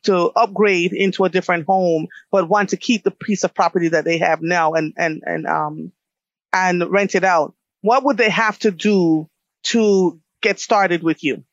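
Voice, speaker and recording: A woman's voice: on the low side, steady tone, unhurried, no echo, lo-fi sound. A woman from Jamaica hosting a radio show, interviewing a guest in a conversational way.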